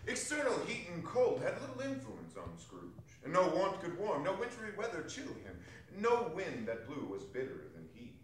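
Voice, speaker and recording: Animated male storyteller, far off and echoey. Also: on the high side, moderate pace, hardly any pauses, slight background noise. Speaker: stage reader in a theatre company. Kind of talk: theatrical dramatic reading of a classic story. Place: arts center performance space, distant camera. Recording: very echoey hall, distant microphone.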